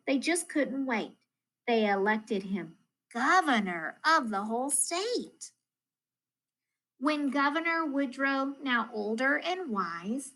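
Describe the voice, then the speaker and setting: A woman with a fairly high, creaky-edged voice reads aloud to young children. Her intonation moves freely up and down, and she phrases each line deliberately, as an elementary teacher does at storytime. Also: fairly bright tone, poor audio. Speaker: elementary school math coach reading to pupils.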